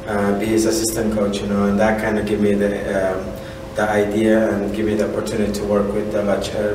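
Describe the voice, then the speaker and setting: Male soccer coach, thirties, native Spanish speaker with Central American accent, halting and casual, very echoey room, distant from mic. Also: lowish voice, noisy background. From Honduras. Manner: halting, repeats "you know". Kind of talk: casual interview answers. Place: indoor room at a college.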